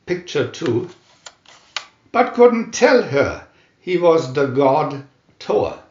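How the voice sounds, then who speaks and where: A man reading a scripted adventure story aloud in a dramatic, deliberate narrator's manner. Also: a little high, big pitch swings, roomy sound, highs cut off. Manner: dramatic, deliberate comic-adventure reading with announced picture numbers. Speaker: narrator and reader.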